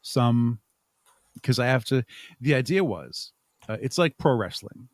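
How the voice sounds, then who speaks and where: A man's voice: medium pitch, expressive, a little slow, dry recording. Man chatting casually, close dry sound. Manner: rambling, chuckles while explaining. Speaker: podcast guest and audio drama creator. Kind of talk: casual interview chat.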